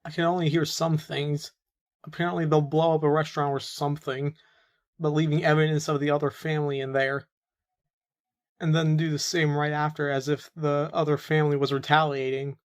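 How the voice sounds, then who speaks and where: Man acting a young, higher-pitched captive informant. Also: close to an everyday voice. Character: young male captive mafia informant, bargaining for freedom. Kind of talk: audio roleplay acting.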